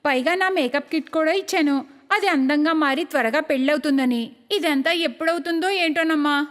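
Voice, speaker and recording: A woman's voice: sits high, normal speed, close to an everyday voice. A woman voicing a scheming, sugary young sister-in-law in a cartoon story, dramatic and gushing. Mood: exasperated. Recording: long hall-like reverb.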